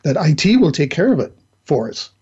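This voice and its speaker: A man hosting an interview, asking halting questions while losing his voice. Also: on the high side, very animated, warm tone, breathy edge, highs cut off. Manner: halting, asks questions with restarts. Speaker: podcast host.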